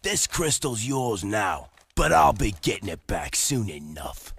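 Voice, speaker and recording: An actor playing a gruff, boastful male desert gunner who taunts in folksy slang, in a video game. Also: on the low side, very animated, a little slow, a bit bright, no echo, full character voice. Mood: grudging. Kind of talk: video game character acting.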